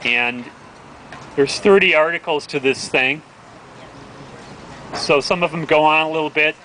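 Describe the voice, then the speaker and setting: A man's voice: fairly high pitch, a little slow, bright, forceful tone, rough recording. A man hosting an outdoor public event, speaking informally and earnestly, urging his listeners on. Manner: earnest, exhortatory. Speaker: event host introducing readers. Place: outdoor town plaza. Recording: outdoor event with street traffic noise.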